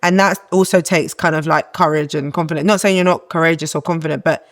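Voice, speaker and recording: Woman, young adult, low masculine-sounding voice, earnest, encouraging, casual podcast guest. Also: fairly even intonation, quick pace. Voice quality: low voice that she calls masculine.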